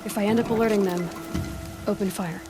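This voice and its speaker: An actress playing a young, self-assured huntress heroine in a video game, with a wry, conversational delivery. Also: female voice, medium pitch, fairly even intonation, a little fast, lightly acted. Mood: confident, wry. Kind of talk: naturalistic video game acting. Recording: faint music behind the voice.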